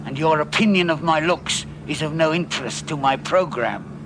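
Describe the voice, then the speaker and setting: A man's voice: a little high, normal speed, lightly acted. An actor playing a cold, commanding male alien military officer, heard in a noisy old TV clip.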